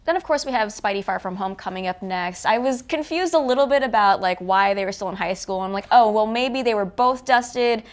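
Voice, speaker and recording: Expressive woman, mid-pitched, chatting casually. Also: fairly quick, hardly any pauses. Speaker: co-host of a film review show. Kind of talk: casual review chat.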